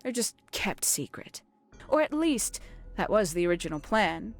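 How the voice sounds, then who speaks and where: An actress playing an enigmatic, brooding woman in a video game, telling her story in a measured, theatrical way with dramatic pauses. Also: medium pitch, big pitch swings. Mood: wry, knowing. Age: adult, older than nineteen. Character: enigmatic, brooding woman recounting a secret family past. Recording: background music under the dialogue.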